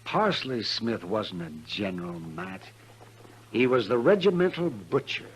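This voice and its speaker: An actor playing a crusty older frontier town doctor with a folksy, grumbling way of talking, in a 1950s western radio style. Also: male voice, on the low side, very animated, measured pace. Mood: quiet, sympathetic. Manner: folksy, grumbling, chuckling banter. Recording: noisy old radio recording.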